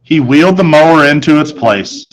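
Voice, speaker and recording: A man's voice: on the high side, expressive, moderate pace, rough recording. A man reading a children's novel aloud as its first-person narrator. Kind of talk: read-aloud story narration.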